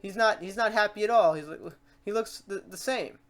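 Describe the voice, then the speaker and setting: A woman with a mid-pitched voice presents a software tutorial. She keeps it informal and playful. She talks through each step out loud, as if chatting casually with the viewer. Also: a little fast. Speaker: tutorial host.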